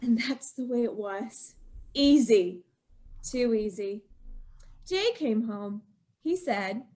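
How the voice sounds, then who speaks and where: A woman's voice: a little high, animated, slow pace, darkish tone. A woman playing a scheming, guilt-ridden young wife who confesses to murder in feverish, insistent old-time radio style. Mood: cold recollection. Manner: feverish, melodramatic, insistent repetition.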